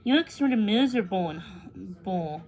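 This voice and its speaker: A woman voicing a caring, reassuring young schoolgirl friend in a children's storybook, gentle and concerned. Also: medium pitch, animated, moderate pace, natural voice.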